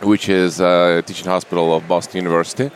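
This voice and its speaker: A man's voice: sits low, level tone, fast talker, a little hiss or hum. A middle-aged man with a Russian accent, speaking as a guest in a casual interview. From Russia, Saint Petersburg.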